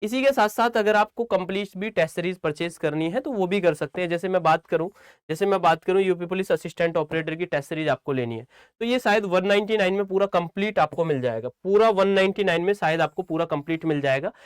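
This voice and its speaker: A man's voice: high-pitched, fast, smooth, no echo, studio quality. A man giving informal instructional talk to students as a coaching teacher, repeating phrases for emphasis and often checking that they follow.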